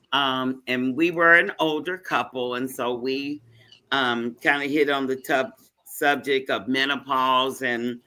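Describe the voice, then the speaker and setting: A woman from Ohio in a casual podcast chat, slowly and deliberately describing her stage role, with sassy asides. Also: extremely low pitch, bright, forceful tone. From Ohio, United States. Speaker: podcast guest, cast member.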